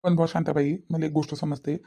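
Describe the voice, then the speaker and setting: Woman voicing low, rapid-fire worried village father. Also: flat delivery, warm tone. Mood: aggrieved. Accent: Varhadi Marathi. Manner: insistent, repetitive questioning. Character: worried middle-aged village father, farmer, protective and aggrieved. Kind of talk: comedy cartoon dialogue.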